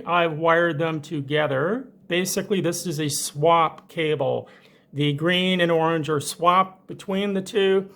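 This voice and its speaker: A man with a voice pitched a little above average presents a hands-on technical demonstration. He speaks informally and with enthusiasm, as a tutorial presenter explaining gear to viewers. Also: a bit bright.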